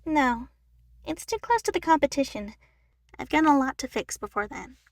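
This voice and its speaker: A woman's voice: high-pitched, big pitch swings, normal speed, no echo, studio quality, pitched above the performer's own voice. A woman voicing a determined, guarded young female chipmunk singer in an earnest way for an audio story. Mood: firm, guarded. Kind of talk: fan fiction audio story acting.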